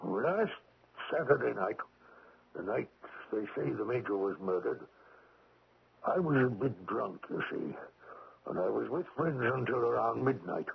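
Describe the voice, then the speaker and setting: An actor playing a humble, deferential peasant shepherd who speaks haltingly in a radio drama. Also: male voice, mid-range voice, expressive, vocal fry, slight background noise. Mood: halting, confessional. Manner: hesitant, humbly respectful, explains haltingly. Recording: dull 1970s radio drama recording.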